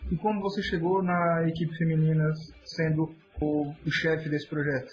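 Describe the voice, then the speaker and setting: High-voiced chatty man host. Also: flat delivery, moderate pace, highs cut off. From Santa Catarina, Brazil. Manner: chatty, friendly, rambling questions. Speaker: podcast host. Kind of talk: interview questions.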